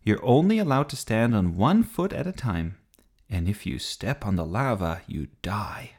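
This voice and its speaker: A man's voice: lowish voice, sing-song, moderate pace, a little mellow, slightly rough, full character voice. A man voicing a bossy, dramatic little girl at play in a children's storybook, grandly theatrical in manner. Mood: bossy, instructing. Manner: grandly theatrical, mispronouncing big words.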